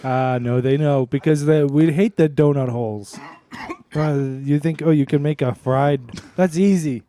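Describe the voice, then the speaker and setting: A man co-hosting in casual comic banter, deadpan and terse, speaking in short clipped phrases. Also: fairly high pitch, unhurried. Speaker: podcast co-host.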